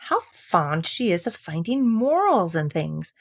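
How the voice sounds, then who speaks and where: A woman's voice: lowish voice, big pitch swings, measured pace, gravelly, no room sound, clean studio sound, heavily acted. A woman voicing a polite, cautious young girl in a storybook, earnest and tentative, with opinions of her own.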